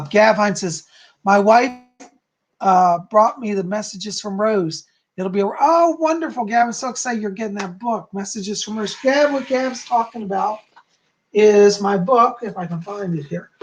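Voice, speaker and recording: Hoarse, husky man chatting on a live stream. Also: sits high, normal speed, rough recording. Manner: chatty, effusive, frequent "okay?" and "you know". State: hoarse, a little raspy. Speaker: psychic hosting a live stream. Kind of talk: casual live-stream chat greeting viewers. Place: at home.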